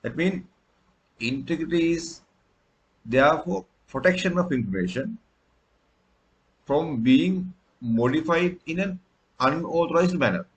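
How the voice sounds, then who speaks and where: A man's voice: on the high side, expressive, unhurried, pauses often, low-quality recording. A man from Sri Lanka, a native Sinhala speaker, giving a recorded tutorial lecture with frequent fillers and restarts. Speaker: instructor.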